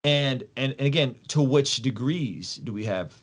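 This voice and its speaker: A man's voice: medium pitch, lively intonation, unhurried. A man from Soviet Russia, a podcast guest explaining things in an emphatic, casual, slangy way, repeating phrases and posing rhetorical questions.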